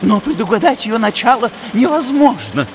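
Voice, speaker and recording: A man voicing an excitable, bookish moose scientist who explains pedantically in a cartoon. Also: very high-pitched, normal speed, noisy background. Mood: pedantic. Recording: music under the voice.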